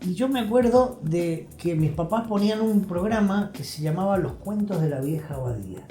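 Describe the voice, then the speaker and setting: A man's voice: high voice, lively intonation, moderate pace, dark tone. An Argentine man speaking as an interview guest, telling and explaining things reflectively, with emphasis and short check-in questions. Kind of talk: reflective conversational storytelling and explanation. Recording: roomy echo, faint music.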